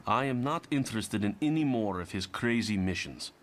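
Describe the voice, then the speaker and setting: Man playing animated, bitter young ex-hero. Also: lowish voice, moderate pace, slight background noise, natural voice. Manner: defiant, argumentative. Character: bitter, fed-up young Russian mutant ex-hero. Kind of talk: motion comic dialogue.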